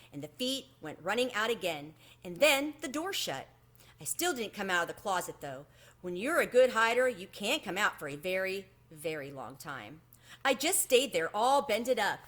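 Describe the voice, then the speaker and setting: A woman reading a children's book aloud expressively, in an animated storytelling manner. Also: medium pitch. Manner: animated, acts out the dialogue.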